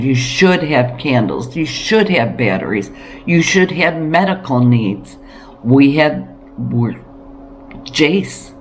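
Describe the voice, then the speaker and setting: A woman talking casually to camera about practical living as a homesteading vlogger, encouraging and emphatic, with deliberate pauses. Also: rumbling low. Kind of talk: casual preparedness talk to camera.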